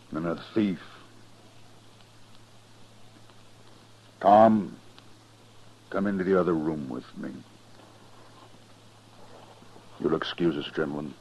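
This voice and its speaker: A man's voice: deep voice, animated, unhurried, pauses often, a little mellow, slight background noise. An American actor playing a stern, terse, grieving rancher father in an old radio western. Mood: grief-stricken, grim. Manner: terse, commanding, grim. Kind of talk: 1950s radio western acting. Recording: dull vintage radio recording.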